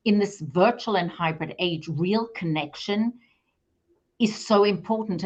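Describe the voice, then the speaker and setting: A woman's voice: lowish voice, dull audio. A middle-aged woman hosting a live video call interview, chatty and enthusiastic. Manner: enthusiastic, talkative. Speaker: interview host.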